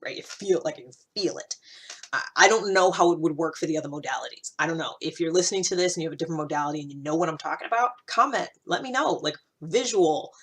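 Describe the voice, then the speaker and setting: A woman's voice: low voice, quick pace, a bit bright, breathy edge. A woman talking casually and reflectively to her listeners, thinking aloud in an informal, searching way with emphatic points. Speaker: host of a personal-growth series.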